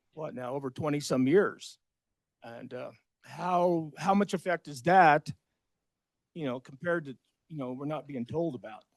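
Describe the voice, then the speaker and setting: Older man with a higher-pitched voice, plainspoken. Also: no echo. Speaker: audience member, retired biologist. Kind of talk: unscripted audience question. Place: indoor public panel event at a library.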